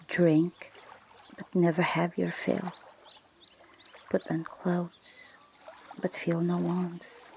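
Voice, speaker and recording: Slow, whispering woman in ASMR prayer. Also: fairly low pitch, slight background noise. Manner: hushed, breathy whispering, unhurried and deliberate. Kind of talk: whispered ASMR guided prayer and scripture reading. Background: ocean water ambience.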